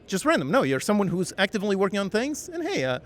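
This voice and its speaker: A man's voice: high voice, huge pitch swings, quick pace. A young man chatting casually as a guest, laughing often and trailing into fillers as he thinks aloud. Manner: laughs often, hesitant fillers, quotes himself. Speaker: game dev YouTuber, guest. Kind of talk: casual conversation.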